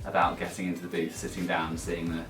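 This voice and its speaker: A man's voice: deep voice, completely flat delivery, quick pace, far from the mic. A man auditioning, talking casually in a giggly, self-deprecating way. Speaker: audition finalist. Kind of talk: casual interview. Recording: roomy, off-mic, faint music.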